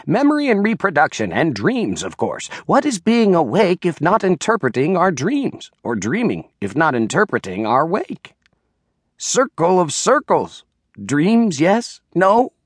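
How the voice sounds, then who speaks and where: A man voicing a rambling, absent-minded old village congregation leader in an audiobook, halting and easily sidetracked. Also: a little high, wildly swooping pitch, breathy edge, no echo, studio quality, full character voice. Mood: muddled, questioning. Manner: halting, digressive, self-interrupting. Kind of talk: audiobook character voice.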